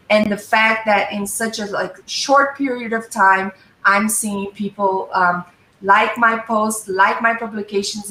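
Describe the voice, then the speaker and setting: A woman speaks thoughtfully, pausing often with fillers. Her tone is bright and sharp. She sits a little back from the mic in a roomy, echoing space. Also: mid-range voice, slight background noise. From Brazil. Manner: thoughtful, hesitant, many fillers. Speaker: guest. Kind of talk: casual conversation on a live show. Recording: echoey room, mic a bit far.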